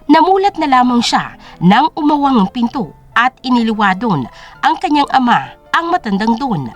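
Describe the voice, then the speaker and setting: A woman's voice: on the high side, sing-song, normal speed. A woman narrating a scripted drama story in a dramatic storytelling style. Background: music.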